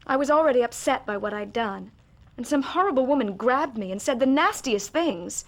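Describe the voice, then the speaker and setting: Actress as earnest young bride, higher-pitched. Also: female voice, close to an everyday voice. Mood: confiding. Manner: earnest, heartfelt. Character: earnest, contrite young American bride, frank and warm-hearted. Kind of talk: period radio drama acting.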